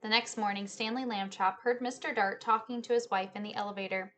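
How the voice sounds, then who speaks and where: A woman's voice: mid-range voice, fairly even intonation, brisk, a bit bright, slight background noise. A woman in her thirties reading a children's book aloud and explaining it to young listeners at home. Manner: addresses listeners as friends, explanatory. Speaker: teacher-style read-aloud host. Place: small living room or home office, indoors.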